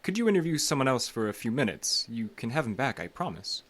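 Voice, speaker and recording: An actor playing a concerned psychologist in an audio drama, a man who is earnest, measured and gently pressing. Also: mid-range voice, big pitch swings. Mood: polite, authoritative. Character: concerned, probing male psychologist, earnest and cautioning.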